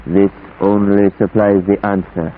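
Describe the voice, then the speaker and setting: Actor as low-voiced, grave old Dutch professor. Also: male voice, level tone, measured pace, close to an everyday voice. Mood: ominous, urgent. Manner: formal, foreign-sounding word order. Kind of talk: 1940s radio horror acting. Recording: hissy, tinny 1940s radio broadcast.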